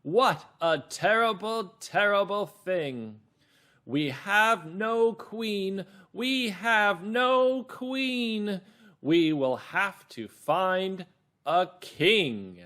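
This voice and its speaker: Man acting out high, loud excitable townsfolk. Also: exaggerated voice. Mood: weeping, dismayed. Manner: wailing, drawn-out choral chanting. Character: crowd of distraught, excitable townspeople without a queen. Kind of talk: children's reader's theater.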